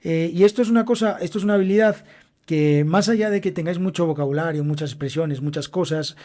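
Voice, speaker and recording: A man's voice: fairly high pitch, fast, darkish tone. A young man with a Castilian Spanish accent, hosting a solo Spanish-learning podcast and explaining things directly to his students. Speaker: podcast host and Spanish teacher. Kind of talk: solo educational podcast monologue.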